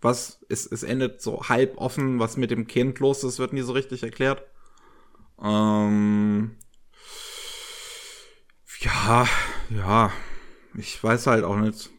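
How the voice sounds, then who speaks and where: Male co-host, relaxed review chat, hesitant delivery with lots of fillers. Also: mid-range voice, takes it slowly, long pauses. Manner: hesitant, searching for words with many fillers. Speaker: podcast co-host reviewing anime.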